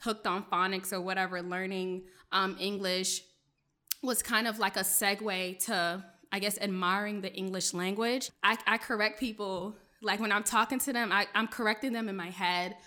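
Young woman, journalist, raised in Maryland, first language French; bright tone, loose conversational delivery with fillers. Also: medium pitch, fairly even intonation, moderate pace. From Maryland, USA. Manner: chatty, many fillers, laughs often. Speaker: journalist, guest. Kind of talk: casual interview storytelling.

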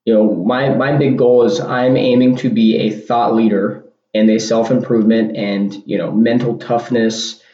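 A man hosting a casual solo podcast, rambling with restarts and punchy asides like "boom" and "bam". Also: steady tone, normal speed, hardly any pauses, warm tone. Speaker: podcast host. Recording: dull lo-fi sound in an echoey room.

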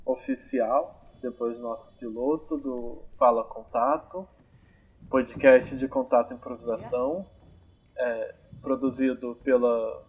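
Unhurried man hosting a podcast. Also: mid-range voice, slightly rough. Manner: unhurried, thinking aloud with frequent hesitations. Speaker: podcast host. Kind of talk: casual podcast introduction. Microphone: amateur remote recording on phone or computer. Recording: dull amateur remote recording.

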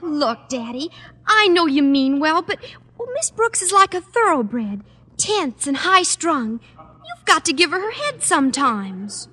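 A woman's voice: high voice, sing-song, measured pace, bright, forceful tone, heavily acted. An actress playing an earnest, dutiful teenage schoolgirl in an old radio sitcom, girlish and coaxing. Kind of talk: 1950s radio sitcom acting. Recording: reverberant vintage radio recording.

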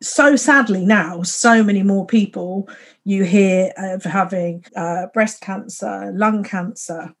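A woman's voice: mid-range voice, takes it slowly. Chatty woman hosting. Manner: rambling, laughs often, frequent fillers and restarts. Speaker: podcast host. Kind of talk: casual conversation.

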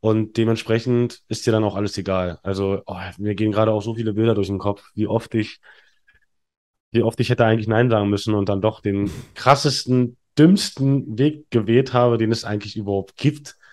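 A man's voice: on the low side, steady tone, brisk, a little mellow. A man in his thirties, a podcast guest telling candid stories in a rambling way with lots of fillers and restarts. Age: 30s. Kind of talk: candid conversational storytelling.

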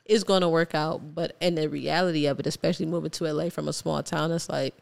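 A woman's voice: sits low, fairly even intonation, fast, gravelly. An American woman from Ohio chatting casually as an interview guest, informal and reflective. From Ohio, United States. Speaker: podcast guest.

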